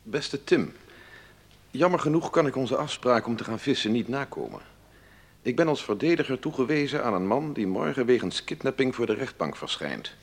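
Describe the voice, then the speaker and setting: An actor playing an exasperated defence lawyer who confides in a friend by letter in a radio drama, with a gravelly, husky voice. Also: male voice, mid-range voice, big pitch swings, moderate pace. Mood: wry, fascinated.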